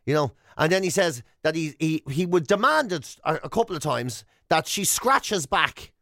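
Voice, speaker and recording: An Irish man co-hosts a comedy radio show in a high, very lively voice. He rambles excitably as he tells stories and banters. The sound is dry and close. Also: normal speed, no echo.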